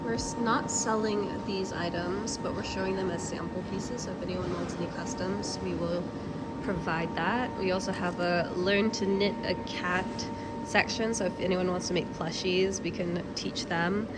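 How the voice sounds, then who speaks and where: A young woman chatting casually to camera in a vlog, nasal and hoarse from a cold, thinking aloud with fillers. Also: lowish voice, steady tone, normal speed, heavy background noise. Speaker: knitting vlogger.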